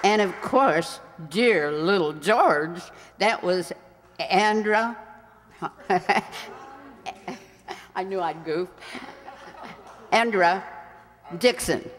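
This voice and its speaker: A woman announcer reading closing credits in a radio style, halting and stumbling over names. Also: lowish voice, some chatter behind. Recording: reverberant hall, audience noise.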